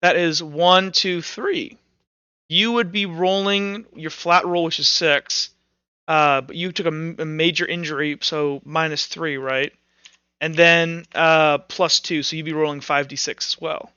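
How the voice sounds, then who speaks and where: A man running a tabletop roleplaying game as game master, narrating casually and haltingly as he thinks aloud. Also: on the high side, steady tone, sharp tone, clean tone, dry recording, dull audio.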